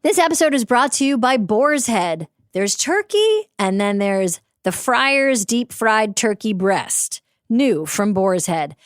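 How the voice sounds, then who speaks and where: American woman with lively, unhurried ad delivery. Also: mid-range voice, dry recording, clean studio sound. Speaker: advertisement voice. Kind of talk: scripted ad narration, conversational.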